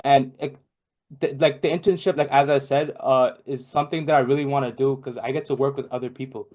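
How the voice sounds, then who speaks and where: A man's voice: mid-range voice, fairly even intonation, a little fast, a little mellow. A young man from Bangladesh, a podcast guest in casual chat, rambling with many fillers and restarts. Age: young adult.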